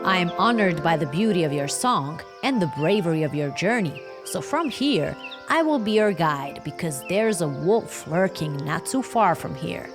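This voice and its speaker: A gracious cat who crowns himself king of the forest speaks in a stately and courteous manner. He is a woman voicing a male cat in a bedtime storybook. Loud music runs underneath his lines. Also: on the low side, expressive, moderate pace, few pauses. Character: friendly grand male cat, self-styled king.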